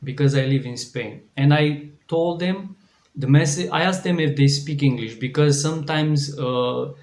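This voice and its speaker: Earnest Romanian-accented male preacher, fairly high voice, slow and deliberate, restarting phrases, in a reverberant room. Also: darkish tone. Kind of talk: devotional sermon. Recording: echoey room.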